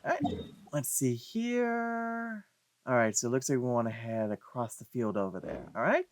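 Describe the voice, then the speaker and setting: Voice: mid-range voice, lively intonation, moderate pace, slight background noise. A let's play streamer giving casual gameplay commentary, playfully thinking aloud.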